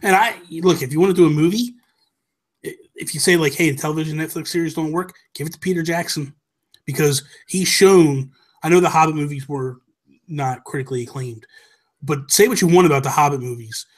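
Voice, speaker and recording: A man's voice: on the high side, fairly quick. A man in his thirties chatting casually as a podcast host, emphatic and opinionated, on a close desk microphone. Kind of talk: animated casual chat about games and films. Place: small home room, indoors. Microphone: close desk microphone with headphones.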